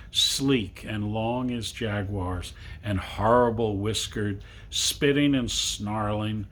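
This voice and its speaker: A man reading a literary story aloud to children, with dramatic, deliberate phrasing and long pauses. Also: lowish voice, a bit bright, husky. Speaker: reader. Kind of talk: expressive storybook narration for children.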